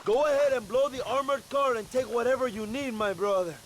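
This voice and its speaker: An actor playing a charming, vain Mexican revolutionary leader in a video game, with a Mexican accent and a pompous, theatrical way of speechifying. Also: male voice, very high-pitched, fairly quick, background noise. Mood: conspiratorial. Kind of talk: video game cutscene acting.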